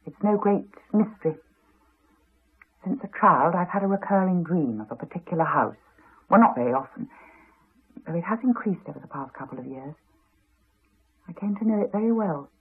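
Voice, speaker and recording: A woman's voice: on the low side, lively intonation, moderate pace, long pauses, slightly rough. An actress with a refined English accent playing an earnest, insistent woman in a 1980s radio drama, on a muffled old recording. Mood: quietly intense. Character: earnest, insistent woman drawn to a haunted house.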